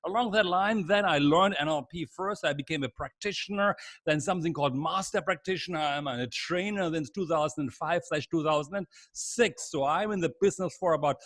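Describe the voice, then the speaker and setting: A middle-aged man with a German accent, leading a live online training seminar in an energetic, repetitive, encouraging way over a video call. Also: fairly high pitch, brisk, hardly any pauses, no room sound. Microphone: computer mic on a video call.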